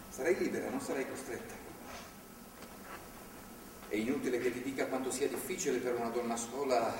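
An actor playing a stern, moralizing religious zealot who holds a woman captive, in a deliberate, authoritarian stage delivery. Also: medium pitch, close to an everyday voice. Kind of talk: live stage drama acting. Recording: distant, noisy, echoey theatre hall recording.

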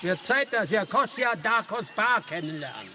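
An actor playing a calm, wily old village man in a German radio drama, reassuring and folksy. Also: high voice, unhurried, very noisy. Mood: calm, knowing. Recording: music behind the voice. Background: music.

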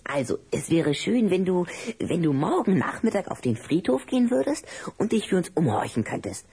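An actor playing a gloomy, bossy little vampire with a husky, hoarse voice in a children's audio drama. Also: medium pitch, sing-song, normal speed, warm tone, full character voice. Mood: pleading, hopeful.

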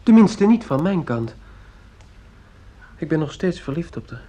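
An actor in a Dutch radio play playing an earnest, lovesick teenage schoolboy, polite and hesitant. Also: male voice, on the high side, big pitch swings, a little slow, muffled recording, very noisy. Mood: lovesick, insistent.

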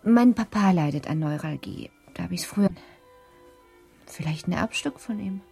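A woman in a radio play acting a young wife who coyly and evasively feigns pain, with a breathy, hesitant, plaintive delivery. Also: lowish voice, animated, moderate pace, a little mellow, faint music behind, exaggerated voice.

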